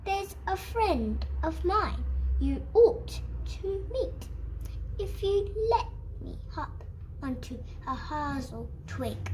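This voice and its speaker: A woman's voice: high-pitched, animated, takes it slowly, noisy background, pitched above the performer's own voice. A woman voicing a small, crafty, confident mouse in a children's storybook, coaxing and theatrical. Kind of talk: children's storybook read aloud.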